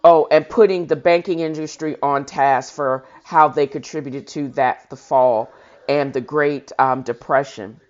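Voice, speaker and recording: Dull lo-fi recording of a halting reader. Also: medium pitch, fairly even intonation. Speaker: host of a reading show. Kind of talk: reading a historical speech aloud with informal commentary. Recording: dull lo-fi sound.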